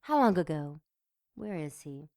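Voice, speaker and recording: Slinky femme fatale, young, dark soft low voice, drags words out real slow, teasing and dangerous, radio drama. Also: female voice, sing-song, heavily acted. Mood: probing. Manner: slow, breathy purring, teasing and commanding. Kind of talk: audio drama acting.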